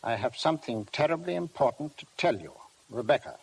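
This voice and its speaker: A man playing a sober, courteous police colonel in 1950s radio drama, measured and formal with some hesitancy. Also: medium pitch, expressive, breathy edge, rough recording. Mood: reading gravely. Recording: hissy vintage radio broadcast recording.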